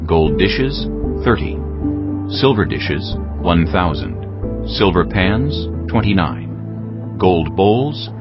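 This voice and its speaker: A man narrating scripture from a script in a measured, formal manner, as part of a dramatized audio reading. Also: sits low, sing-song, muffled recording. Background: music.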